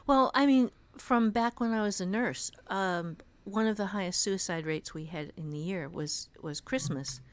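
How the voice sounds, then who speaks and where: A woman's voice: medium pitch, normal speed, hardly any pauses. A middle-aged woman co-hosting a podcast, teaching writing in an informal, explanatory way. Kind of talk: casual instructional discussion.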